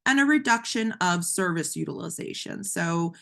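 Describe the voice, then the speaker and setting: Woman, slightly hoarse, lowish voice, explanatory webinar talk, ums and restarts. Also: animated, normal speed. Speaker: webinar presenter. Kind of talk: conversational webinar lecture.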